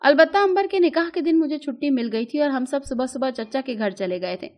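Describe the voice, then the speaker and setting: A woman's voice: high voice, fairly quick. A woman narrating a scripted story in the first person, as a storyteller.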